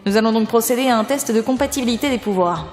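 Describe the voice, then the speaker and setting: A woman's voice: a little high, quick pace, darkish tone, natural voice. A woman playing a stern, foul-mouthed government lab boss in an audio drama, curt and commanding. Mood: authoritative, businesslike. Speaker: lab director. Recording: long hall reverb, music underneath. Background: music.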